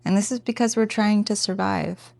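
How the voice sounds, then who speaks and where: A woman teaching a guided meditation in a gentle, informal, encouraging way. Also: medium pitch, normal speed, gravelly, dry recording.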